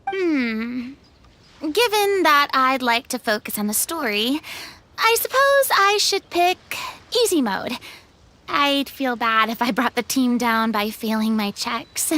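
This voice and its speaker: A woman's voice: high voice, lively intonation, slow pace, bright, forceful tone, heavily acted. A woman voicing a thoughtful, polite and hesitant young woman in a video game. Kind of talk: animated video game voice acting.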